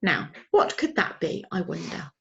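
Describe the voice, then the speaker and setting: A woman's voice: on the low side, sing-song, measured pace, gravelly, muffled recording, exaggerated voice. A woman voicing a kindly but fussy old lady who speaks in a prim, genteel, chiding manner. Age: older.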